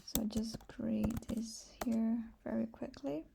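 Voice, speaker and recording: A soft-spoken woman with a Brazilian accent, a native Portuguese speaker, giving a casual step-by-step screencast tutorial. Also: on the high side, fairly even intonation, slow, vocal fry, background noise. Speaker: programming tutorial host.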